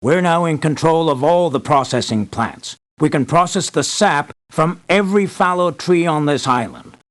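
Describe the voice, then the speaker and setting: An actor playing an earnest, measured male leader giving formal, deliberate briefings in a scripted video game. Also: a little high, animated, fairly bright tone. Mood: pleased. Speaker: mission briefer.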